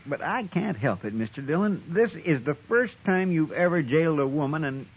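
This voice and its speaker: An American actor playing an earnest, soft-hearted marshal's helper in a radio western, folksy, respectful and plaintive. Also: male voice, a little high, animated, normal speed, husky. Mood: worried, plaintive. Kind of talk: old-time radio western acting. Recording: muffled, slightly noisy old radio recording.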